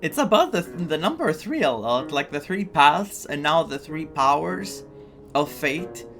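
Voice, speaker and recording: A man from Greece giving casual, excitable reaction commentary on a game, thinking aloud as he plays. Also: on the high side, a little slow. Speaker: gaming streamer. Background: faint game music.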